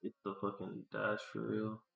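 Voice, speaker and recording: A man's voice: on the low side, completely flat delivery, moderate pace, creaky, dull audio. A man thinking aloud casually on a livestream as he works, muttering to himself in slangy, sweary talk. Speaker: game developer live-streaming work. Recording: roomy echo.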